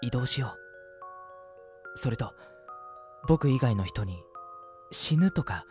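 Over soft music comes a man acting as a gentle ghost boy of sixteen in a radio drama. He is earnest and careful, with a voice that moves widely in pitch as he reassures his listener. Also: animated, takes it slowly. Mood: urgent, reassuring.

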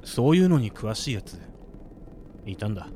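An actor playing a cold, vengeful older brother who speaks in terse commands in a radio drama. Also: male voice, lowish voice, sing-song, moderate pace, dark tone, a little hiss or hum. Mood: cold, commanding.

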